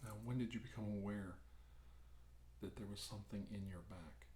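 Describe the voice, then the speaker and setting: A man playing a calm, reassuring doctor in an ASMR medical roleplay, speaking softly with hesitant, soothing delivery. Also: fairly low pitch, normal speed, close to an everyday voice. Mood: gentle, questioning. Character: calm, reassuring male doctor examining an alien abduction patient. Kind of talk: ASMR medical exam roleplay, soft-spoken to whispered. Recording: roomy echo, faint background hiss.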